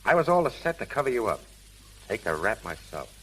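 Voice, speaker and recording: An actor playing a bitter, tough but lovestruck crook in 1930s American radio drama. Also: male voice, on the low side, sing-song, a little fast, fairly bright tone, slight background noise, rough recording. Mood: bitter, resigned. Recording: humming, sizzling old 1930s radio recording.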